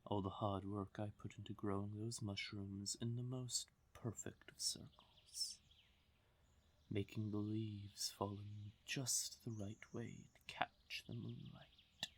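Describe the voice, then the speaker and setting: A man's voice: on the low side, little pitch movement, creaky, slight background noise. A man voicing a haughty, teasing fae king of the forest in a fantasy audio roleplay, talking down to the listener with theatrical menace and dropping often into a whisper. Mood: mock displeasure. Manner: condescending, slow purring menace, theatrical.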